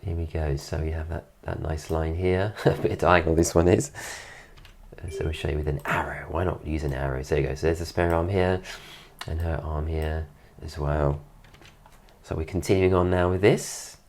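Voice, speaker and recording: A man with a very low, deep voice and a stuffy, congested nose, still getting over an illness. He talks in a relaxed voice-over commentary and keeps praising details in an admiring way, close to the mic. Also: normal speed, creaky. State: recovering from illness, voice deeper than usual. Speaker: dance teacher reviewing a dance video.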